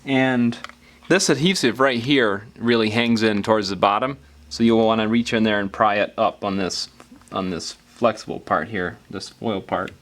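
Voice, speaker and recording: Low-voiced man giving a hands-on tutorial. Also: fairly even intonation, normal speed, a bit bright. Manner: casual step-by-step explaining, trailing off mid-phrase. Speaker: repair tutorial presenter. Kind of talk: hands-on how-to narration, unscripted.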